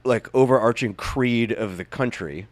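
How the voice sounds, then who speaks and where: A man's voice: medium pitch, moderate pace, no room sound. A man co-hosting a podcast in loose casual chat, arguing his points with lots of fillers. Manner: loose, filler-heavy, argumentative. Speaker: podcast co-host.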